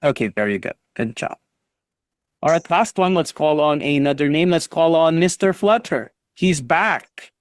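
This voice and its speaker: A man's voice: fairly high pitch, expressive, moderate pace, dry recording. Encouraging male English teacher, dry sound. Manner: patient, encouraging, drills pronunciation. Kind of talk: online English lesson. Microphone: video call.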